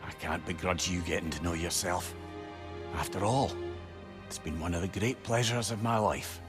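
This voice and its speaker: An actor with a Scottish accent playing an earnest, eloquent, lovelorn sage in a video game. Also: male voice, sits low, normal speed, natural voice. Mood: tender. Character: eloquent, tender, lovelorn male sage, former scoundrel. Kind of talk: dramatic video game dialogue. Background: music.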